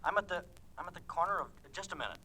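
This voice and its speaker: An actor playing a courteous, hesitant stranger on the telephone in 1940s radio drama style. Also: male voice, sits high, lively intonation, sharp tone, exaggerated voice. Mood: hesitant politeness. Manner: courteous, halting. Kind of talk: 1940s radio suspense acting. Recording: vintage 1940s radio transcription.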